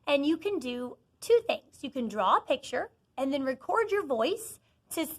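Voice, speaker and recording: Lady teacher reading to little kids, high voice, super up and down, encouraging, close dry sound. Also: sing-song, normal speed, no echo. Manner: encouraging, asks questions and answers them. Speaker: elementary school teacher. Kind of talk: read-aloud lesson for young children.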